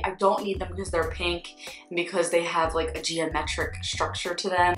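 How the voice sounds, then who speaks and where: A young woman from Northeast Philadelphia with a Philadelphia accent, a makeup artist giving blunt, sarcastic, swear-laced opinions in a casual vlog. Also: mid-range voice. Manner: blunt, sarcastic, swears freely, animated swings. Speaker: beauty vlogger, freelance makeup artist. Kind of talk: candid casual ranting vlog. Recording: echoey room with faint music.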